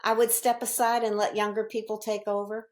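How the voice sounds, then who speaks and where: A woman's voice: mid-range voice, flat delivery, a little fast. A woman in her seventies from Virginia, a voter speaking firmly and plainly. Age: 70s. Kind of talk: interview clip.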